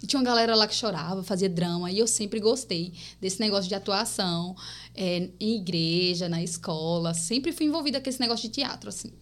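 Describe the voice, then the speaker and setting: A young woman from Paraíba with a mixed Northeastern Brazilian accent, chatting casually as a podcast guest. She tells her stories in a lively way, often turning to the listeners, at an ordinary, medium pitch. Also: fairly quick. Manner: lively storytelling, often addressing listeners as "gente".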